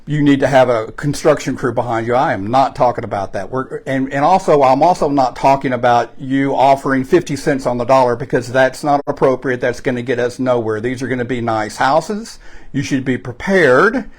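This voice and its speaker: A man with a Texan accent talks straight to the listener in a folksy, conversational sales pitch. His voice sits at an ordinary middle pitch, and he often stops to correct himself or fill pauses with "uh" and "um". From Texas. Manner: folksy and chatty, with frequent fillers and self-corrections. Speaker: real estate investment promoter. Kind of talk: direct-to-camera sales pitch.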